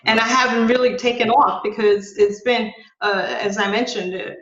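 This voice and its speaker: A woman from Ecuador, raised in the Bronx, talking haltingly about her tour business in an oral history interview. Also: a little high, steady tone, a bit bright. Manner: hesitant, restarts often. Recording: roomy, slightly dull audio.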